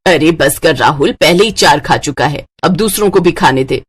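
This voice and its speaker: A woman acts out a middle-aged cartoon stepmother with a lowered voice. She is scheming and self-pitying, grumbling and wheedling her way through family life. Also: expressive, normal speed, breathy edge. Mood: scolding. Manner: wheedling, complaining. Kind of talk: Hindi cartoon moral story acting.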